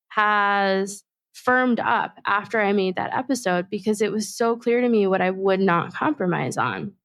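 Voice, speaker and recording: Woman doing a solo podcast ep, calm thoughtful personal talk, mid voice, dry sound. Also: mid-range voice, dry recording. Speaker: podcast host and facilitator. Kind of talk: reflective solo podcast monologue.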